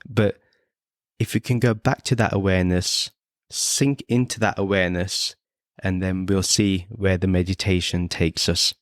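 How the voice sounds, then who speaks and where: Low male voice, meditation teacher, short phrases w pauses, measured, really dry close sound. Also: fairly even intonation, dry recording. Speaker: meditation teacher and podcast host. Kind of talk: guided meditation instruction with short commentary.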